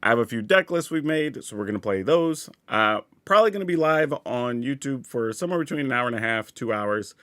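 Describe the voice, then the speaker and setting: A man's voice: mid-range voice, expressive, brisk, few pauses, fairly bright tone, no echo, clean studio sound. A man, congested from a sinus cold, chatting easily and thinking aloud while streaming a card game. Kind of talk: casual live-stream chat while playing.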